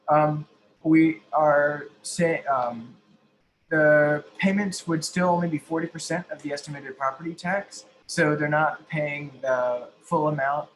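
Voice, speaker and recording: A man giving an explanatory advocacy presentation as an activist, halting and often correcting himself mid-sentence. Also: fairly high pitch, fairly bright tone, poor audio. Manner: halting, frequently self-correcting. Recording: faint background noise.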